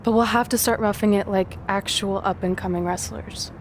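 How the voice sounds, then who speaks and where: An actress playing a plain-spoken, loyal young aspiring wrestler in a video game, direct and wry. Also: female voice, medium pitch, steady tone, moderate pace, a little hiss or hum, lightly acted. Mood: wry, practical. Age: young adult.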